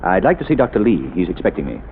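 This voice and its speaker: A man playing a calm, wealthy amateur sleuth in a 1930s radio drama, measured and commanding. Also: deep voice. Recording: tinny old radio broadcast with faint hiss.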